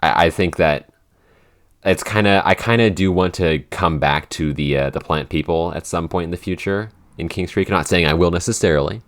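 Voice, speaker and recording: A man in a casual unscripted podcast Q&A, talking in a halting, rambling way with lots of "like". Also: low-pitched. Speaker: podcast creator and co-host answering listener questions.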